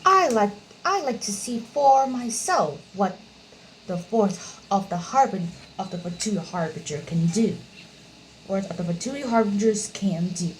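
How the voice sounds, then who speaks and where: A woman's voice: mid-range voice, animated, measured pace, a little hiss or hum, poor audio. A woman playing through a video game, reacting and reading along in a dramatic, exclamatory way. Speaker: gameplay streamer. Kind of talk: playthrough reactions and reading aloud.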